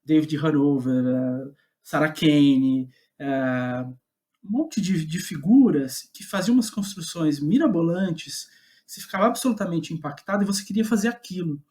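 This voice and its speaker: A man's voice: on the high side, moderate pace, darkish tone. Casual Brazilian man recounting his path. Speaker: guest playwright. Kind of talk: casual conversational interview, recounting own career.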